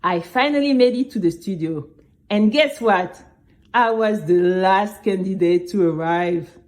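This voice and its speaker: A woman playing a nervous, chatty young girl who tells her story in a conversational, expressive way. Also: mid-range voice, unhurried, natural voice. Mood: anxious, animated. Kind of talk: first-person scripted audio drama narration.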